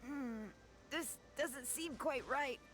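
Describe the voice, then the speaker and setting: A woman's voice: high voice, slow pace, a bit bright, faint music behind. A woman voicing a cheerful young female llama-like bartender in a game, polite and chatty with a touch of self-pity. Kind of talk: game character dialogue.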